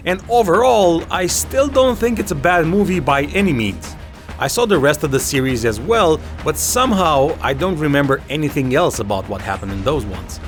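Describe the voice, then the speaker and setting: A man giving casual, opinionated commentary as a video host, talking conversationally and swearing freely. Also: fairly high pitch. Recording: music behind the voice. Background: background music.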